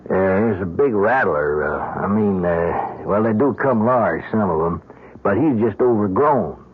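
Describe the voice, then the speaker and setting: A man's voice: lowish voice, expressive, unhurried, slightly rough. A man playing a folksy longtime hired hand in an old radio drama, stammering and deferential. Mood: hesitant, roundabout. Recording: tinny old radio broadcast.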